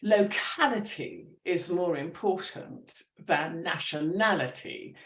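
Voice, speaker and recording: A woman, a writer and teacher, talking through her ideas with animated thoughtfulness and many restarts at a public talk. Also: fairly low pitch, slow pace. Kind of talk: conversational storytelling. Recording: roomy echo.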